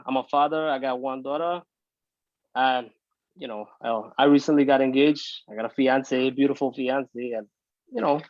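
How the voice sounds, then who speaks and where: A man's voice: medium pitch, dry recording, highs cut off. A young man from Guyana, a guest singer talking easygoing and laid-back over a phone video call.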